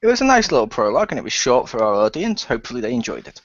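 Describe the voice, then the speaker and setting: Male role-play player, animated intonation, casual banter, dry lo-fi recording. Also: mid-range voice, racing, no echo.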